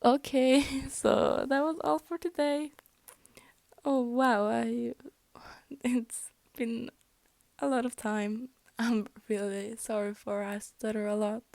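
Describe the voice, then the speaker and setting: A woman's voice: on the high side, fairly even intonation, takes it slowly, vocal fry, a little mellow, no room sound. A young woman whose first language is Norwegian, reading fan fiction aloud, often tripping over words and laughing at her slips. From Norway. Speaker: fanfic reader.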